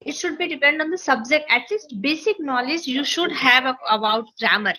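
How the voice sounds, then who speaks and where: A woman's voice: high voice, animated, fairly quick, pushed and bright. A woman English teacher with an Indian accent and Maithili as her first language, earnestly explaining in an interview and repeating phrases to stress her points. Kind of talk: interview, sharing learning advice.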